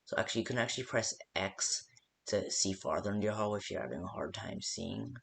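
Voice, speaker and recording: A man playing a hesitant, creaky-voiced game phone guide. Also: deep voice, big pitch swings, quick pace, fairly bright tone, full character voice. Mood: casual, hesitant. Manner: hesitant, rambling, full of stops and second thoughts. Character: rambling, hesitant male phone guide briefing a night guard. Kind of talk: improvised-sounding instructional phone call for a game.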